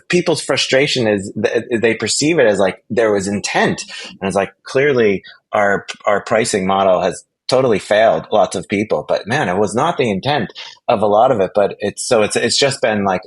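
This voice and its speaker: Low-voiced man, rambling and emphatic. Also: husky. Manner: animated, emphatic, rambling with false starts and repetition. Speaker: interview guest, product executive. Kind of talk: casual interview conversation.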